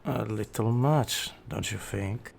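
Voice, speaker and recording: Man acting loyal mob lieutenant, low-leaning voice, raspy fry, dry wit, patient, audio drama. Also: slow, heavily acted. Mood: patient, teasing. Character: laid-back, loyal mafia right-hand man, wry and patient. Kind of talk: scripted audio roleplay acting.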